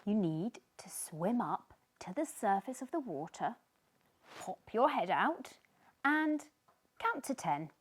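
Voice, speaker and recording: A woman voicing a tiny, kind storybook fish who is reassuring and encouraging, with expressive delivery. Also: mid-range voice, slow pace, husky, full character voice. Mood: instructing.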